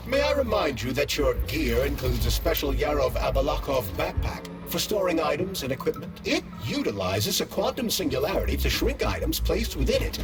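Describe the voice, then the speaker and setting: A man voicing a polite, helpful AI assistant in a video game, formal and articulate as he explains things. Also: a little high. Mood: advising.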